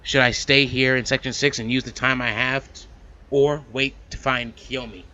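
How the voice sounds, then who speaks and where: A man's voice: mid-range voice, fairly even intonation, moderate pace, pushed and bright, lo-fi sound. A man hosting a let's play, reading a visual novel aloud and adding casual commentary. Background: game music.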